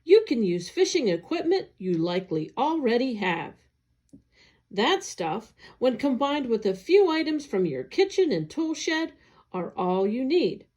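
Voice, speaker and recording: Lady reading an educational newsletter out loud, takes it slow, pretty animated, careful phrasing. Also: on the high side. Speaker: agency staff reader. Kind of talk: read-aloud of an educational newsletter.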